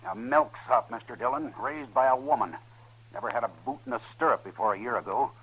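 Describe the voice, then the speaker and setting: An older retired cavalry colonel in an old radio western. His voice is husky and gruff. He blusters in formal, old-fashioned phrases, stubborn and full of contempt. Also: mid-range voice, a little fast, a bit bright, rough recording. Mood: scornful, indignant. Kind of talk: 1950s radio western acting. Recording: old radio drama recording.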